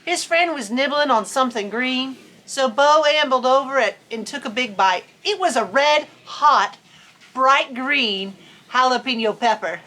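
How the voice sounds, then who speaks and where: A woman with a high, bright voice reads a children's story aloud. Her delivery is folksy and playful, and she slips easily between narration and casual chat. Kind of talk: animated storybook reading with casual chatty asides.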